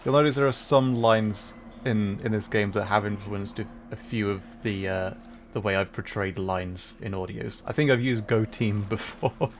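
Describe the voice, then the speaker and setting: A man's voice: fairly low pitch, normal speed, slight background noise. A man streaming a game live, explaining level design in an enthusiastic, rambling way. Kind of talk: live gameplay commentary.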